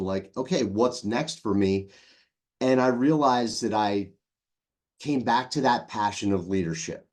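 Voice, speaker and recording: A man in his forties with a Philadelphia accent and a lowish voice, speaking as a podcast guest. He tells his career story in a relaxed, chatty way, with ums and quick restarts. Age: 40s. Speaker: guest, leadership coach. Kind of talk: casual interview.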